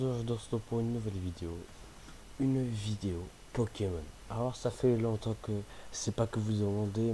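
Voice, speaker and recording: A man's voice: on the low side, warm tone, a little hiss or hum, rough recording. Hesitant young man with a cold. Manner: hesitant, many fillers and restarts. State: has a cold, sniffly. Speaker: gaming youtuber. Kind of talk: casual vlog chat.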